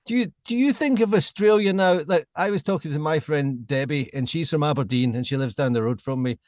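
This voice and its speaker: A man in his forties with a Glaswegian accent softened by years in England, hosting a casual podcast chat with self-deprecating, rambling anecdotes. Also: on the high side, a little fast, no echo, studio quality. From Scotland. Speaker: podcast host.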